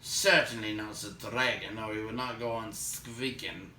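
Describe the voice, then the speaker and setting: A man's voice: lowish voice, steady tone, unhurried, bright, forceful tone. A man voicing a stern, dry-witted dwarf leader in a storybook, impatient and formal in his wording. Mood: irritated, dismissive. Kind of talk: children's fantasy storybook read aloud. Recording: roomy, distant microphone, light noise.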